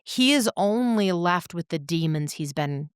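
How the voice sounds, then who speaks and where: A woman co-hosting a podcast, speaking in a measured, articulate way as she reflects and analyzes. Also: fairly low pitch, animated, smooth, dry recording, studio quality. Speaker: podcast co-host.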